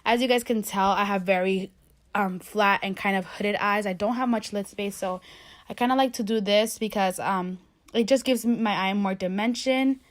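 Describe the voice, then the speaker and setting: A woman's voice: mid-range voice, moderate pace. A young woman in her twenties, congested from a cold, chatting through a makeup tutorial in her bedroom with plenty of fillers. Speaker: beauty vlogger. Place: bedroom at home.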